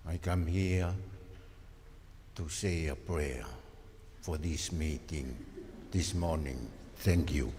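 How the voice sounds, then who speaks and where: A man's voice: a bass voice, takes it slowly, long pauses, a little mellow. A man in his seventies with a Tongan accent, formally introducing himself to a meeting. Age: 70s. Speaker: retired man leading prayer. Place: community hall. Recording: echoey hall, background noise.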